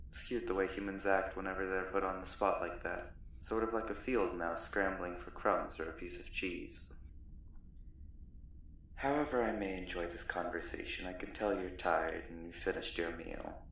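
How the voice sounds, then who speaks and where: Man voicing a deep, echoing, courtly vampire captor. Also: distant mic. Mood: coaxing, condescending. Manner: genteel, condescending, softly threatening. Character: courtly male vampire captor, gloomy, politely menacing. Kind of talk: ASMR roleplay monologue. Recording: roomy echo, off the mic, faint noise.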